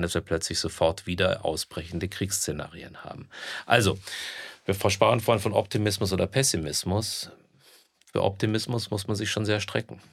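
A man discussing politics in a measured, analytical way with formal phrasing. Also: low voice, vocal fry, no echo, clean studio sound. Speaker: podcast co-host, former politician.

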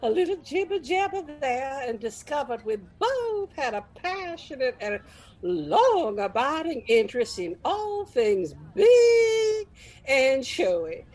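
A bubbly lady gushes in a high, slow drawl, sweet with barbs underneath. Her voice swoops dramatically in comedy-sketch style. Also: very animated, darkish tone, full character voice. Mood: sugary. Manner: gushing, folksy, dramatic drawl. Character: bubbly, chatty, folksy woman monster-truck exhibitor, sugary with barbs.

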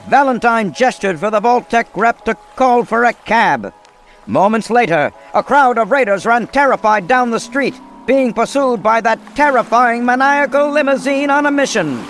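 An old-time radio narrator, high in pitch and grandly dramatic. He tells the story in scripted style over clearly audible background noise. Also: normal speed, a bit bright.

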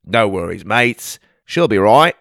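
A man voicing a rugged outback bushman with a put-on Australian accent, hearty and loud. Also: on the low side, big pitch swings, measured pace, no echo, studio quality, full character voice.

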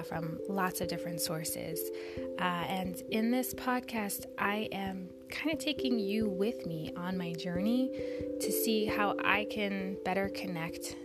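A woman hosting her own podcast, speaking slowly and deliberately, pronouncing each word carefully, sometimes dropping to a soft whisper. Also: on the low side. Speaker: podcast host. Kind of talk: slow, careful spoken podcast introduction.

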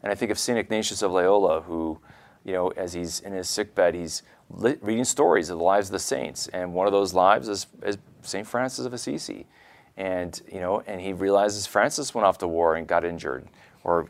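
A man hosting a conversational interview, speaking earnestly and often restarting his phrases. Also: low-pitched. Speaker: show host.

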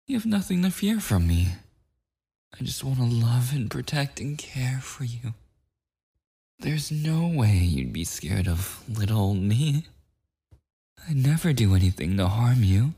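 Breathy, obsessive yandere boyfriend played by a man. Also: medium pitch, mellow, heavily acted. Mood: tender, nervous. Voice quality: breathy, often slipping into whispers. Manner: rambling, pleading, halting delivery. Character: clingy, possessive yandere boyfriend, obsessive and anxious. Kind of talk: boyfriend ASMR roleplay, intimate scripted monologue.

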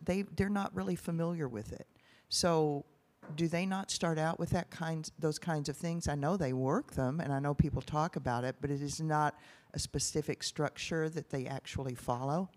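A man in the audience at a live conference event, asking an unscripted question in a halting, roundabout way. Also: on the high side, a little mellow. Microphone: microphone passed to audience.

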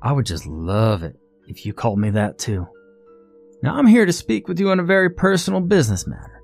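A man voicing a small, genteel Southern gentleman with a florid, drawn-out way of speaking and an American Southern accent. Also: mid-range voice, very animated, gravelly, soft background music, voice pushed up. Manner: florid, drawn-out, ever so gracious. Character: small pot-bellied Southern dandy man, genteel, menacing.

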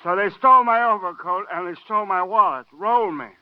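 Guy acting a boozy rambling loser, bitterly amused, high voice, muffled old radio sound. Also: a little fast, dry recording, natural voice. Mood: boastful, bitter. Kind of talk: 1950s radio drama acting. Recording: muffled dry vintage radio recording.

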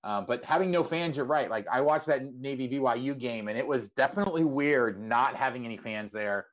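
A man's voice: mid-range voice, brisk. A man hosting a sports podcast, talking casually in an interview. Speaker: podcast host. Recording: slightly dull, lo-fi audio.

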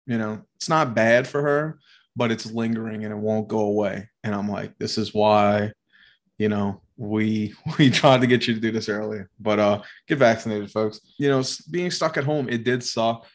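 A man's voice: fairly low pitch, normal speed. Nasal, rambling male co-host. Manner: rambling, profane, laughing. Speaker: podcast co-host. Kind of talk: casual podcast chat.

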